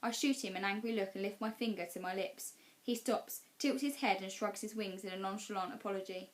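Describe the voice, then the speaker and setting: Female storybook narrator, mid-range pitch, expressive classroom read-aloud style. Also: brisk. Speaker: teacher reading class storytime.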